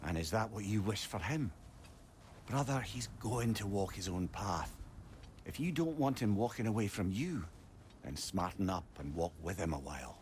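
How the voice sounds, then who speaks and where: A man as a low-voiced, wise older companion. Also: lively intonation, slight background noise, lightly acted. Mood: gently admonishing. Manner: measured, gently admonishing. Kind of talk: video game character acting.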